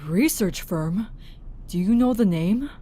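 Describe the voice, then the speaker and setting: A woman's voice: mid-range voice, animated, takes it slowly, warm tone, a little hiss or hum. A woman playing a concerned, blunt medic in a zombie apocalypse audio drama, questioning and firm. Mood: probing, calm.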